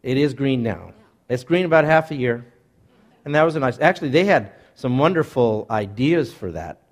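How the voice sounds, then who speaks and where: A middle-aged man, a newspaper columnist, chatting and rambling as he takes questions from an audience, laughing often. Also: mid-range voice. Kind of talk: casual Q&A talk with audience.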